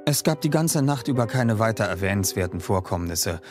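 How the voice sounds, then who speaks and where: A man playing a sober young doctor, narrating a gothic audio drama in a measured, serious way. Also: lowish voice, expressive, close to an everyday voice. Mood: somber. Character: sober young male doctor recounting gothic horror events. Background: music.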